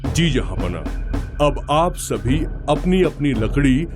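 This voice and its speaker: A man's voice: mid-range voice, big pitch swings, takes it slowly, over music. A man voicing a clever, composed royal adviser who explains respectfully in a cartoon story. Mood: calm, assured.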